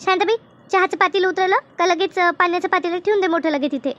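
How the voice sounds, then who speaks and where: A woman voicing a gossipy, teasing village woman in a comedy cartoon, with an expressive, complaining way of talking. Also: very high-pitched, fast talker, highs cut off. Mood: bustling. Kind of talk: colloquial comedy cartoon dialogue.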